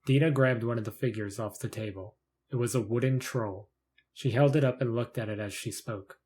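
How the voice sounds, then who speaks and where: A man's voice: mid-range voice, fairly even intonation, normal speed, darkish tone. A man reading scripted narration for a fantasy short story in the third person.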